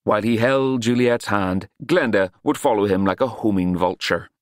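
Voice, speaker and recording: A man's voice: on the low side, moderate pace, no echo, clean studio sound. A man reading scripted fiction as an audiobook narrator, in a dramatic storytelling style.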